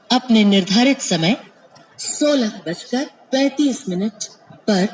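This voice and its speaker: Slow, high-pitched woman train announcer. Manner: formal, measured, stitched-together phrasing. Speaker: railway station announcer. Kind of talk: scripted, pre-recorded train announcements. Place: played over public address at a railway station. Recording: echoey station loudspeaker, background noise.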